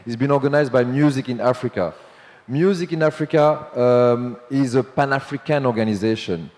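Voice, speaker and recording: A man moderating a conference panel, talking in a hurried, loose way with many fillers. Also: mid-range voice, darkish tone. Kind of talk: panel discussion. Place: large conference hall. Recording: long hall reverb.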